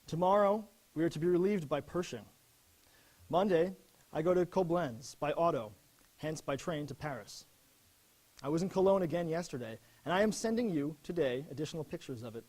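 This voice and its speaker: A young army officer played by a man reads his letters home in a dramatic historical presentation. His voice sits a little high for a man, and he speaks earnestly and solemnly, with measured grief. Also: darkish tone. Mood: affectionate, restrained. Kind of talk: dramatic reading of wartime letters.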